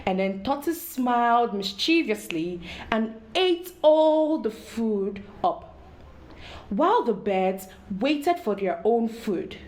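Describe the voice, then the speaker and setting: A woman reading a story aloud to children in an expressive storytelling style, addressing young listeners directly. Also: fairly high pitch, takes it slowly, poor audio.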